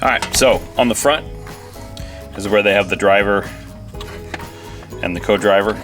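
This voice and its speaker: Low-voiced man, casual how-to host. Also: moderate pace, music in the background. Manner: informal, muttering to himself while working. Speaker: DIY video host. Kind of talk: casual hands-on how-to narration.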